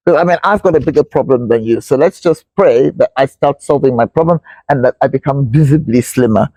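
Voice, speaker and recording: A man hosting a casual wellness chat, candid and self-deprecating, with a hoarse voice and frequent throat clearing. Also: medium pitch, brisk, dark tone. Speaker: host. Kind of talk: casual chat and viewer shout-outs. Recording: roomy echo, far from mic, faint noise.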